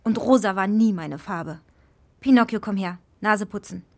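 An actress playing a blunt, defiant failed fairy with a curt, no-nonsense manner in a children's radio play. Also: female voice, mid-range voice, normal speed, close to an everyday voice. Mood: gruff.

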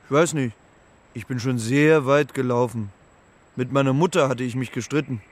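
An actor in a children's radio play voicing a naive, homesick young moose who talks in a simple, halting, literal way. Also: male voice, medium pitch, lightly acted. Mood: puzzled, earnest. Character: young male moose, homesick, naive, earnest talking animal.

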